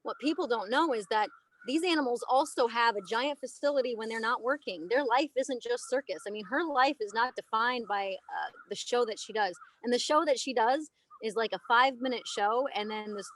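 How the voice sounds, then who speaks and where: Animated woman guest, fairly high voice. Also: fairly quick. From United States. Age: 30s. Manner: animated, emphatic storytelling. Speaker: elephant trainer and aerialist guest. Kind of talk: casual podcast interview.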